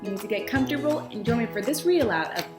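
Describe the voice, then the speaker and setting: A woman narrates a children's story expressively, as if reading from a picture book. Her voice is fairly high, and the room adds a clear echo. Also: lively intonation, very fast, over music.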